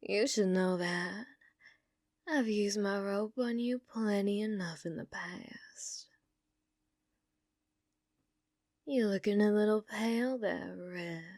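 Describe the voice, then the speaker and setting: Casting: actress as possessive yandere bounty hunter for ASMR roleplay; female, unhurried, sultry, dominant, slipping into whispers, close dry sound. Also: medium pitch, a little mellow, dry recording. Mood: smug, teasing. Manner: slow, taunting, sultry, often dropping into whispers.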